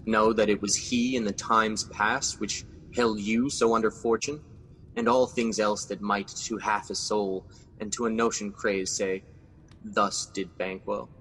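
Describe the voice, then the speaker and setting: A brooding guilty king, low voice, bright forceful tone, reverberant radio play. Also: steady tone, a little slow, slightly rough. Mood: commanding. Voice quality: brooding, intense. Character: Macbeth, a guilt-ridden scheming Scottish king. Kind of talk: classic radio drama acting.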